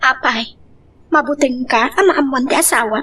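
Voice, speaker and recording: A woman's voice: sits high, moderate pace, pushed and bright, breathy edge, heavily acted. A woman with an Ilocano accent playing a flirtatious, demanding mistress in a romance audio drama. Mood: provoking. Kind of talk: Ilocano radio-style drama acting.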